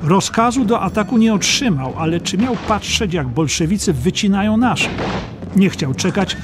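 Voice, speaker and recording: A man's voice: high-pitched, animated, moderate pace, over music. A man narrating a war history audiobook in a dramatic, scripted storytelling style.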